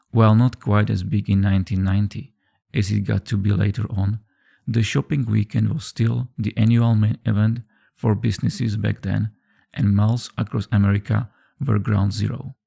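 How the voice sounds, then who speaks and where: Deep gravelly male horror narrator. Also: mellow. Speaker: creepypasta narrator. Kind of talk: scripted horror story narration. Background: background music.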